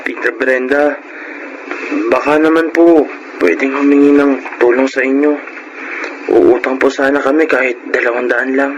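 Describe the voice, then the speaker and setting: A man's voice: mid-range voice, fairly even intonation, normal speed, pauses often, dull audio, natural voice. A young man with a Filipino accent playing a deferential, remorseful son in a radio drama. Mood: sheepish pleading. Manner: deferential, pleading. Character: remorseful, respectful grown son of a broke family. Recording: noisy radio drama recording.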